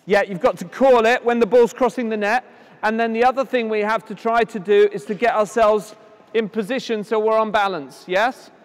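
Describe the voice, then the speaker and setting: A man coaching children at tennis drills, giving brisk encouraging instructions and projecting his voice loudly. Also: high voice, steady tone. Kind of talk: on-court coaching demonstration. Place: indoor tennis hall at a conference. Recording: echoey hall, voice from a distance.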